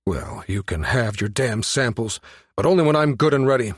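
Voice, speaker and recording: A man's voice: medium pitch, expressive, moderate pace, breathy edge, no echo, studio quality. A man voicing an older male scientist in an audiobook, stern, indignant and easily rattled, with a blustering, demanding manner. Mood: angry.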